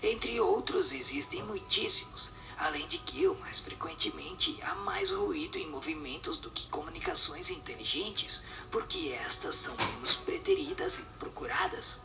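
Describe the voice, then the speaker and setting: An actor voicing a solemn spirit that gives measured, instructive answers in a breathy whisper in a radio drama. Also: medium pitch, huge pitch swings, distant mic, music in the background.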